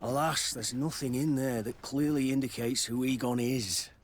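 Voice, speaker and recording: A man's voice: medium pitch, steady tone, moderate pace, slight background noise, close to an everyday voice. An actor playing an anxious, loyal male quartermaster in a video game, earnest and worried.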